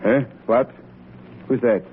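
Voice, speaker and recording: An actor playing a wary, gruff biologist in an old radio drama, speaking in a halting, blustering way. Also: male voice, mid-range voice, steady tone, lightly acted. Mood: startled, demanding. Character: startled male biologist doctor, gruff and wary. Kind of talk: 1930s radio drama acting. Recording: tinny, hissy old radio recording.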